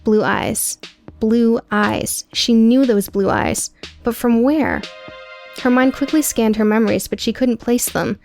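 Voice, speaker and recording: A woman reading scripted audiobook prose in a measured, dramatic storytelling manner. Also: a little high, a little mellow, faint music behind. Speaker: audiobook narrator.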